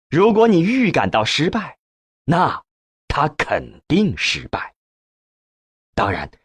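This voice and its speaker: A man narrating an audiobook in a scripted, dramatic storytelling style with measured, deliberate phrasing. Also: mid-range voice, breathy edge, no room sound, clean studio sound.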